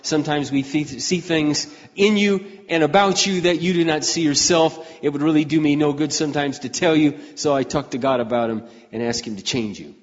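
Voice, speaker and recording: An older man preaching a folksy, conversational sermon as pastor, often clearing his throat and restarting his sentences. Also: fairly high pitch. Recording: dull, muffled sound with long hall reverb.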